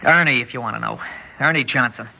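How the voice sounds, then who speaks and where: A man's voice: mid-range voice, quick pace, creaky, a little hiss or hum, natural voice. Man acting cocky young hoodlum, muffled radio. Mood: edgy. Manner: tough slangy street talk, barked threats. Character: cocky, jumpy young male gunman, crude small-time hoodlum. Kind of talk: old-time radio drama acting. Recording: muffled old radio recording.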